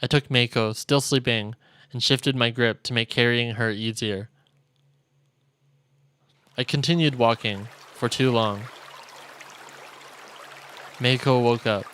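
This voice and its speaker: A male streamer reading visual novel narration aloud in a solemn, deliberate storytelling manner. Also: mid-range voice, steady tone, a bit bright, smooth, no echo. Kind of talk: dramatic reading of game narration. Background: game music.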